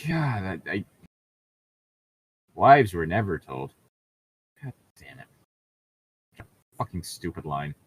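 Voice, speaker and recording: A man's voice: low-pitched, big pitch swings, slow, pauses often, slightly rough. A man reading a story aloud as a co-host, breaking off for sarcastic, irritable, profane commentary and casual banter. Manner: sardonic, irritable, profane heckling.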